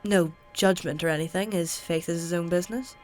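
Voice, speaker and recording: An actress playing a clever, defiant healer with a matter-of-fact, insistent manner in a fantasy audio drama. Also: female voice, fairly low pitch, steady tone, fairly quick, faint music behind, natural voice. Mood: brisk, concerned.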